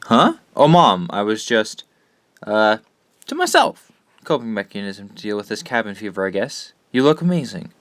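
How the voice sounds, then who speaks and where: An actor playing a troubled, earnest teenage boy in an audio drama, speaking haltingly. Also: fairly low pitch, very animated, a bit bright, no room sound. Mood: flustered.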